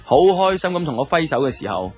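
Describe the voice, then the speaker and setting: A man's voice: fairly high pitch, very animated, brisk, a little mellow. A man with a Hong Kong Cantonese accent playing a laid-back young man who narrates his love life in a reflective, colloquial way for a radio drama. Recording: music under the voice.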